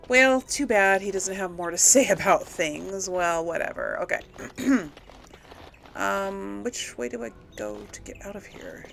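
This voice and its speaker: A woman's voice: medium pitch, sing-song, unhurried, creaky, a bit bright, faint music behind. A woman hosting a casual let's play, musing aloud informally and hesitantly as she talks and role-plays through a game. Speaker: gaming video host.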